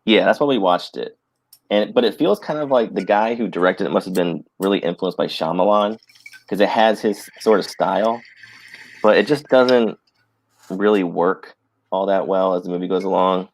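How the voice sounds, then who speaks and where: A man's voice: sits low, moderate pace, rough recording. A man chatting casually about films as co-host of a live stream.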